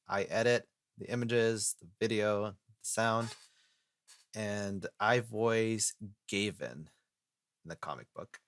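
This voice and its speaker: A man's voice: fairly low pitch, fairly bright tone, dry recording, studio quality. A man hosting a casual podcast read-through who lays out the plan step by step. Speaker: host and editor.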